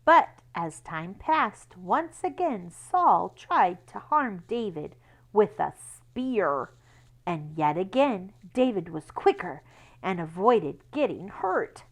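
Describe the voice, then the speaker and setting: Slow, very animated woman reading to children. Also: medium pitch. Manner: instructive, explains words and checks understanding with children; expressive, emphatic storytelling. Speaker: read-aloud teacher for children. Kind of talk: children's book read-aloud.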